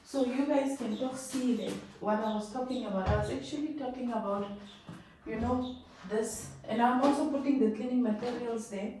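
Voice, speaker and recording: A woman's voice: on the high side, moderate pace, background noise. Chatty Namibian woman in an echoey room. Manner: rambling and chatty, talks to viewers as she works. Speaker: vlogger. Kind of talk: casual home vlog chat. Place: tiled bathroom at home. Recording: distant, very echoey home room.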